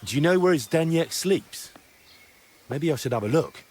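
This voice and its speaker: A man's voice: a little high, lively intonation, moderate pace, a little mellow. A man playing an earnest young investigator in a medieval video game drama, direct and questioning, reassuring but pressing. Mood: inquisitive. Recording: clear background ambient noise.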